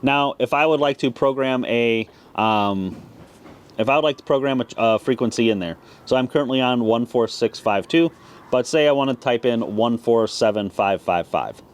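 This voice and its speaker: A man's voice: medium pitch, normal speed. A man giving a casual, plain-spoken, step-by-step product tutorial as a video host. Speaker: ham radio video host. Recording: clear background noise.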